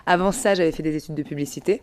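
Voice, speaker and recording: A woman's voice: sits low, racing. A young woman comedian, speaking casually as an interview guest, often hesitating and repeating words as she corrects herself. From Paris region, France. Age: young adult. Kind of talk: casual spontaneous interview talk.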